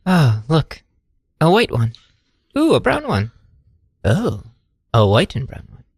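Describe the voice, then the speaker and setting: A woman's voice: sits low, wildly swooping pitch, slow, a little mellow, husky, no room sound, studio quality, heavily acted. A woman playing an exasperated, practical frontier woman, weary and scolding, in improvised comedy.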